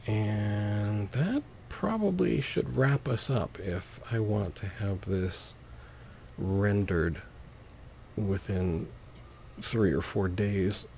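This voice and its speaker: A male gaming host with a low, relaxed voice and plenty of vocal fry. He comments on his play in short, clipped phrases over faint game ambience. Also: drawn-out words, a little hiss or hum. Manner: narrates own actions in short clipped phrases. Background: video game ambience.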